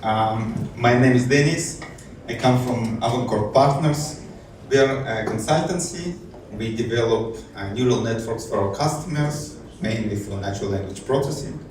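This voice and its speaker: A man's voice: mid-range voice, normal speed. Man speaking in an echoey conference hall. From Israel. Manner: hesitant, many fillers and restarts, light self-amused laughs. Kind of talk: technical conference talk. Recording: echoey hall, distant mic, faint noise.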